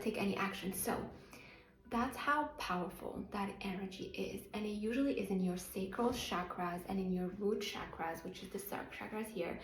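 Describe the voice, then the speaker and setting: A woman's voice: medium pitch, a little mellow. A woman from Morocco with a Moroccan accent, speaking as a motivational coach in an earnest, emphatic self-help talk to her channel's viewers. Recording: roomy echo, faint music underneath.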